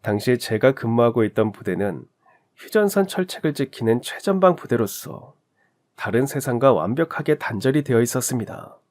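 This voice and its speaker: Male storyteller for radio-drama narration; conversational, direct to listener, animated pitch, mid-range voice. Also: normal speed.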